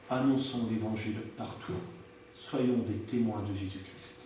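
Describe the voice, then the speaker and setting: A man's voice: medium pitch, slight background noise. A man preaching a sermon in a frank, conversational way, often hesitating as he speaks, recorded in a church. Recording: echoey church, distant dull mic.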